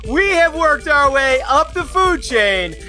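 A man co-hosting a kids' science podcast, excitable and upbeat. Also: extremely high pitch, slow, faint music behind. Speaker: podcast co-host.

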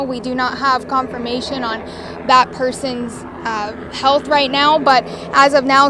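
High-voiced young woman doing a live field report outside, traffic noise behind, handheld mic. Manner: steady, plain reporting with some restarts. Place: outdoors in a mall parking lot.

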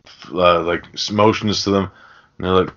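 A man's voice: deep voice, fairly even intonation, normal speed, a bit bright. A man co-hosting a podcast, chatting casually about films in an informal, sweary way. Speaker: podcast co-host. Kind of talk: casual film chat. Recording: dull, lo-fi sound.

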